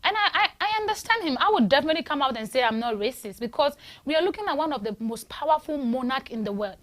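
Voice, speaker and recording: A woman's voice: high-pitched, lively intonation, fast, a bit bright. A woman from Ghana hosting a call-in talk show, chatty and emphatic, often restarting her sentences. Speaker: radio show host. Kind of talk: casual call-in discussion.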